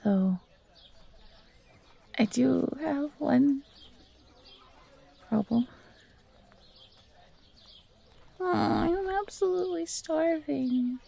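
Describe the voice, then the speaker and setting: A woman voicing a young fox girl, timid but eager and grateful, soft and breathy, in a scripted audio roleplay. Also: high-pitched, animated, slow, pauses often, exaggerated voice. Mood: sheepish, weary.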